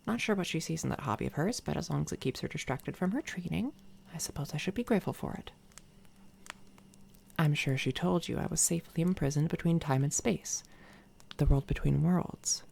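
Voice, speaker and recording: A woman voicing a smug, menacing villainess doppelganger in a soft-spoken, taunting ASMR roleplay, often slipping into a whisper. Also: low voice, vocal fry, slight background noise. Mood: brooding, bitter. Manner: taunting, condescending, unhurried. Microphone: close binaural microphone.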